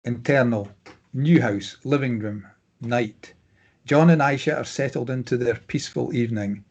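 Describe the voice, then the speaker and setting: A man's voice: mid-range voice, normal speed, a little mellow, highs cut off. A man reading out screenplay stage directions as scripted narration.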